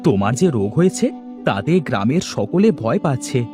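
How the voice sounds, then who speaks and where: A man's voice: medium pitch, very animated, fairly quick, over music, close to an everyday voice. A man playing a humble, anxious village husband in a cartoon, speaking earnestly and pleadingly. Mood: downcast.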